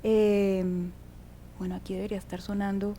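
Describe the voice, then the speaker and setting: A woman's voice: little pitch movement, normal speed, mellow. A woman with a Colombian accent giving an informal, explanatory public lecture, often checking in with short tag questions. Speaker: guest lecturer, music researcher. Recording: clear background noise with low rumble.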